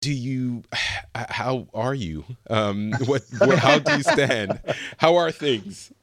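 Guy hosting a podcast chat, kinda halting and chuckly, avg pitch, dry close sound. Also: lively intonation, a bit bright, dry recording. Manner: hesitant, searching for words, often chuckling. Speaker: podcast host moderating a panel. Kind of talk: casual discussion.

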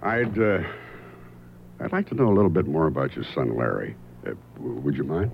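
An actor playing a dry, unhurried private detective in a radio drama, questioning people offhandedly and narrating his own case. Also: a bass voice, very animated, creaky, a little hiss or hum, exaggerated voice. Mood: gentle, probing. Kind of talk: radio drama acting and first-person narration. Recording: dull old radio broadcast, music behind.

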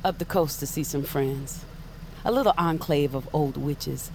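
A woman's voice: deep voice, measured pace, darkish tone, low-quality recording. Tough-love witch in a fantasy audio drama, blunt n earnest, bit of background noise. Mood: firm, concerned. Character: no-nonsense witch mentor, blunt, exasperated and protective.

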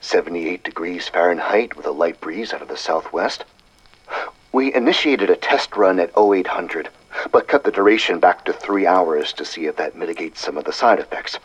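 A man's voice: sits low, animated, normal speed, slightly rough, rough recording. A man voicing an earnest, wry woman scientist dictating a chatty, precise audio diary in an audiobook story. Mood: businesslike.

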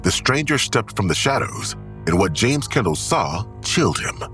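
An actor narrating a dark suspense thriller in a scripted audio drama. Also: male voice, lowish voice, sing-song, normal speed, soft background music. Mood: suspenseful.

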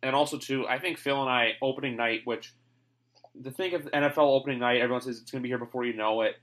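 A man hosting a sports podcast in casual chat, talking on and on with firm opinions. His voice sits at an ordinary middle pitch. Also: fast talker, fairly bright tone. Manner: chatty, rambling, opinionated. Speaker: podcast host.

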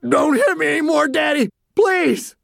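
A man putting on a giant young man, battered and pleading in a hoarse, broken rasp. His cries climb far above the reader's normal voice, thin and desperate, in a dry close sound. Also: extremely high pitch, wildly swooping pitch, a little slow, bright, forceful tone, dry recording, pitched above the performer's own voice, heavily acted. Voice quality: hoarse, gravelly. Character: huge young man, beaten, begging.

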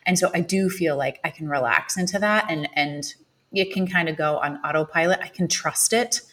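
A woman's voice: low voice, moderate pace, a bit bright. A woman in her thirties, a stage actress speaking as a podcast guest, thoughtful and searching, with many fillers. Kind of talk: casual podcast interview.

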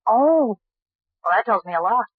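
A woman's voice: a little high, animated, normal speed, no echo. An actress playing a plucky, probing young woman sleuth in a 1940s radio mystery. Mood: curious, questioning. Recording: muffled old radio recording.

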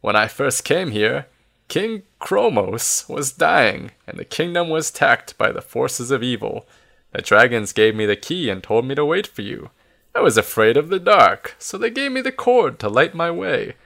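A man voicing a tiny ancient hermit from a storybook, faltering, excitable and forgetful. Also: medium pitch, creaky. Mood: rambling, excited. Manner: excitable, halting.

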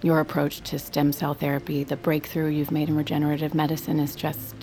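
A woman playing a poised, flattering and gossipy psychiatrist in an audio drama, with an urbane, arch manner. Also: low voice, brisk. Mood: effusive, flattering.

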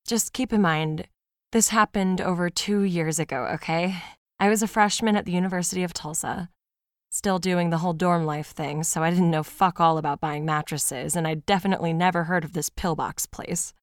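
A woman's voice: fairly low pitch, fairly even intonation, moderate pace, no echo, clean studio sound, close to an everyday voice. A woman playing a podcast host who reads out a fan's email in a casual, wry, sweary storytelling way for an audio drama. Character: podcast host reading a fan's confessional horror-comedy email aloud. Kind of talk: scripted audio drama monologue, conversational storytelling.

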